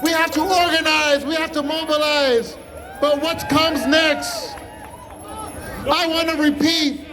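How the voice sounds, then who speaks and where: High, shouting man at a crowded rally. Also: fairly even intonation. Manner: impassioned, declamatory, emphatic pauses. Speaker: protest speaker, activist. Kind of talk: rally speech. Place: outdoor street protest. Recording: loud rally PA with crowd and music. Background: crowd and music.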